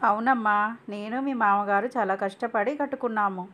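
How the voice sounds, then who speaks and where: A woman plays a middle-aged mother in a cartoon family tale. She is proud and bossy, speaking assertively at an ordinary female pitch. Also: moderate pace, a bit bright, natural voice. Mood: welcoming. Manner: assertive, dismissive to husband. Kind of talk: cartoon moral story voice acting.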